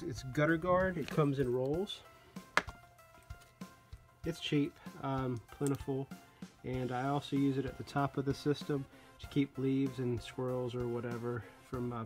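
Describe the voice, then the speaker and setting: A man's voice: mid-range voice, a little mellow. A man who installs radon systems, walking through an old house cellar with a handheld phone and talking through the work in a folksy, joking way. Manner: folksy, joking, plain-spoken. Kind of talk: casual how-to walkthrough.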